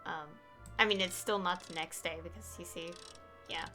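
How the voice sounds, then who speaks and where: A woman's voice: on the low side, steady tone, normal speed, pushed and bright, faint music behind. A woman giving casual live gameplay commentary as a streamer, hesitant and thinking aloud, often trailing off. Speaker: game streamer.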